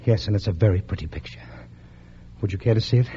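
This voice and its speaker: An actor playing a nervous, love-struck killer with a hesitant, stammering manner in a vintage radio drama. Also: male voice, on the low side, quick pace, dark tone, close to an everyday voice. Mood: uneasy, devoted. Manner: hesitant, stammering, repeating words. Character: besotted, nervous lover turned killer, betrayed by her. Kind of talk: 1940s-1950s American radio suspense acting. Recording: muffled, noisy old radio recording.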